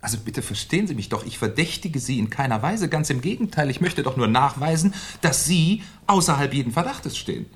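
An actor playing a shrewd, sardonic Paris police inspector in a 1970s German radio crime play, deliberate and mock-courteous. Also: male voice, mid-range voice, expressive, breathy edge. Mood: mock-polite, insistent.

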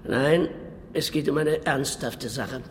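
A man's voice: mid-range voice, slow, natural voice. An actor playing an earnest, talkative middle-aged wine merchant and father in a radio play, explaining himself. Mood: worried, earnest. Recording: reverberant cellar-like room, faint noise.

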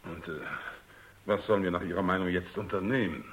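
An actor playing a concerned army general in a radio drama, formal and probing. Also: male voice, low voice, fairly even intonation, a little fast, a bit bright. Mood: worried. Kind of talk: German radio drama acting.

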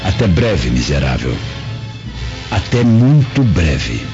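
Treacherous merchant, wildly swooping delivery. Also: male voice, sits low, very animated, takes it slowly, heavily acted. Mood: menacing. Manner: servile, oily politeness hiding menace. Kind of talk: radio drama acting. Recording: dull lo-fi audio with music.

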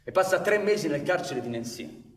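Man acting earnest high-voiced factory worker, echoey hall. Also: lively intonation, normal speed, distant mic, lightly acted. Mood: subdued, grave. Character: earnest working-class factory man, husband and father, recalling hardship. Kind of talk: theatrical monologue in a radio play. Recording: echoey hall with live music behind.

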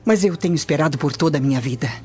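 A woman's voice: deep voice, animated, racing, a little mellow. An actress with a Brazilian accent playing a proud, scheming noblewoman in a radio soap, theatrical and fervent. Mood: suffering, fervent. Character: proud, passionate scheming noblewoman chasing lost love. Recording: dull lo-fi audio under music.

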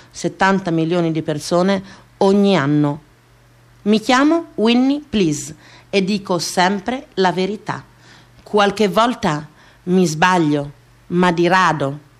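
A woman performing a scripted theatrical monologue for radio, deliberately repeating phrases like an incantation. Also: fairly low pitch. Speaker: actress performing her own theatrical monologue.

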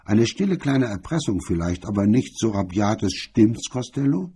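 A cool, sardonic detective from a crime audiobook, put on by a male reader. His voice is very husky and a bit low, and he talks casually, with dry mockery. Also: big pitch swings, moderate pace, mellow, exaggerated voice. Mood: mocking. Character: cool, sardonic male hardboiled investigator with a gun.

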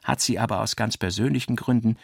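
A composed, haughty gentleman in a radio novel, played by a male narrator. He sounds formal and deliberately indifferent. His voice is creaky and husky, in a dry recording. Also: lowish voice, dry recording. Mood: coolly assertive. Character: haughty, composed brother speaking for his sister.

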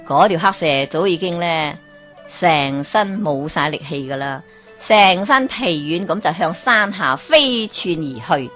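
Slow, low-voiced woman storyteller. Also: faint music behind. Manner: lively exclamations, asks the listener questions. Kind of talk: Cantonese folk tale narration.

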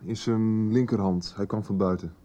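A man's voice: fairly low pitch, fairly even intonation, moderate pace, warm tone, highs cut off, soft background music, natural voice. An actor playing a cocky, joking young man in a radio soap, flippant and unruffled. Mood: cocky, relaxed. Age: young adult. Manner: flippant, laid-back. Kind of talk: 1990s radio soap acting.

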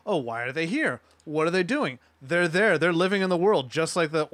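This man has a somewhat high-pitched voice and a dry, close sound. He chats as a podcast panelist, stressing his points with earnest conviction. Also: expressive, moderate pace, a bit bright, no room sound. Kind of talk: casual panel discussion.

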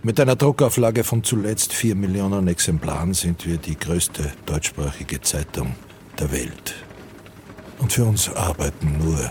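Very deep-voiced, solemn army colonel. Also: male voice, measured pace, darkish tone, slight background noise. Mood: grave, proud. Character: aging colonel, army propaganda newspaper editor-in-chief, recalling war. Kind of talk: scripted radio play narration.

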